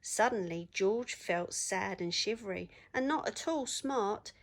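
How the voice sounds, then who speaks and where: A woman reading a children's story aloud in an expressive storytelling manner. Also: medium pitch, unhurried.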